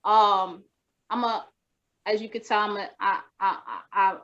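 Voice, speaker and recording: Brief: young woman, soft voice, flat delivery, halting and rambling, lo-fi video call audio. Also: medium pitch. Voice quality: naturally soft voice. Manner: rambling, many restarts and fillers. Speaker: rapper guest. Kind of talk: casual video-call interview. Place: home room with wooden shelves.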